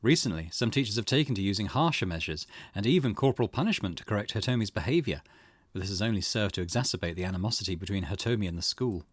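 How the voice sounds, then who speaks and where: A man hosting a gaming video, reading visual novel text aloud as expressive narration. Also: on the low side, no echo.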